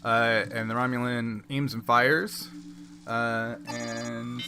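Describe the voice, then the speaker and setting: A man's voice: medium pitch, steady tone, takes it slowly, over music. A man running a tabletop role-playing game, narrating and explaining rules off the cuff. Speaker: game master.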